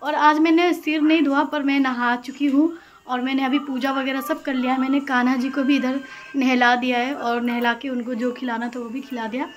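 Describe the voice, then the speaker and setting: A woman's voice: sits high, little pitch movement, normal speed, highs cut off. A woman chats casually and ramblingly into a phone at home. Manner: chatty, rambling. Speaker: housewife vlogger. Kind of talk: casual chat to camera. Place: small home rooms, kitchen and balcony. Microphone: phone at arm's length. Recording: clear background noise, household ambience.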